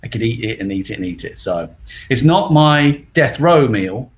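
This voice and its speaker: A man's voice: mid-range voice, animated, normal speed, a little hiss or hum. A middle-aged man from England chatting casually as a guest on a live stream, rambling and laughing easily.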